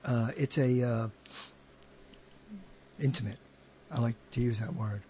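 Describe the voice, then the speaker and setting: A man hosting a conversational interview, speaking in a halting, rambling way and often repeating himself. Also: mid-range voice, fairly even intonation, darkish tone, breathy edge. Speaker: interviewer, host.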